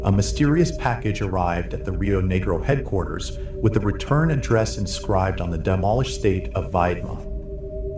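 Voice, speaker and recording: A man reading scripted documentary-style horror narration in a measured, formal manner. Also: fairly low pitch, roomy sound. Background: music.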